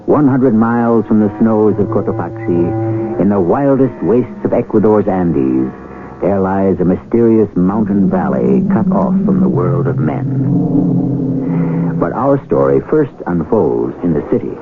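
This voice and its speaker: Deep-voiced male radio mystery host. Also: animated, unhurried. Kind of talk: scripted dramatic narration, old-time radio host. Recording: muffled, noisy 1970s radio broadcast, loud music.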